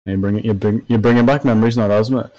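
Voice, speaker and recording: A man's voice: lowish voice, steady tone, racing, mellow, lo-fi sound. A tired man with a Trinidadian accent, talking animatedly and swearing in a casual podcast chat. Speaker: podcast co-host.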